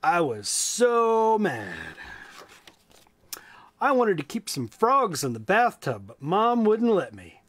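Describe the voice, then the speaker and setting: A man's voice: high voice, wildly swooping pitch, slow pace, fairly bright tone, voice pushed up, exaggerated voice. A man voicing a mad little child from a storybook, protesting in a whiny, emphatic way. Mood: indignant.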